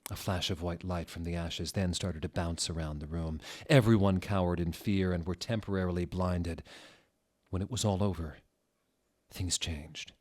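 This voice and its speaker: A man with a low voice reads a story aloud in a mock-dramatic style. He swings between dry, deadpan delivery and sudden theatrical outbursts. The reading sounds staged on purpose. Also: moderate pace. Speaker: dramatic reader.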